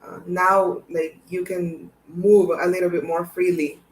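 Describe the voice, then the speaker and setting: A woman's voice: fairly low pitch, flat delivery, a little slow, slight background noise. Shy young woman, echoey room. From El Salvador. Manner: soft-spoken, shy, hesitant. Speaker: guest on a livestream. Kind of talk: casual chat.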